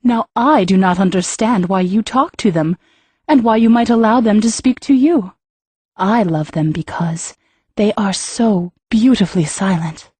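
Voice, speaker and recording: A woman voicing a poised empress who speaks in a formal, measured and dryly ironic way, in a storybook reading. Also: mid-range voice, a little mellow, husky, no room sound. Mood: wry, regal. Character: regal, wry empress, poised and cool.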